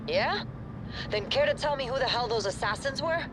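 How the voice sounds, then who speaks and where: A woman's voice: animated, moderate pace, close to an everyday voice. A woman playing a brusque, skeptical police detective in a video game. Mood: impatient. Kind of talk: video game cutscene acting. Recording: outdoor rumble, traffic noise.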